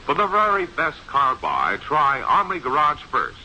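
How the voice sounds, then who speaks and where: A man's voice: fairly high pitch, huge pitch swings, normal speed, pushed and bright, low-quality recording. A man with an American accent reading a scripted 1960s radio advertisement in a polished, salesmanlike way. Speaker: radio commercial announcer. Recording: hissy vintage radio recording.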